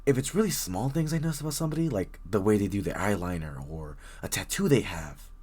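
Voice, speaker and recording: A man's voice: on the low side, lively intonation, fast talker, a little mellow, breathy edge. A man playing a very shy, bookish young stranger in an intimate roleplay audio drama, stammering, rambling and apologising nervously as he addresses the listener. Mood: shy, warming up.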